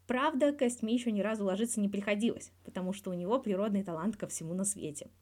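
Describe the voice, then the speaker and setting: A woman's voice: medium pitch, fast, a little mellow, lightly acted. A woman voicing a guarded male hockey star in his thirties, talking candidly and wryly in a scripted interview from a fan fiction audio reading. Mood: fond. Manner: candid, wry, colloquial with swearing.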